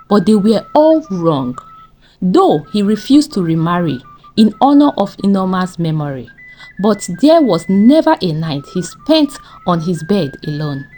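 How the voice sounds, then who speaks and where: A woman's voice: mid-range voice, a little mellow, slight background noise. A woman with a Nigerian accent narrating a scripted folktale as a storyteller.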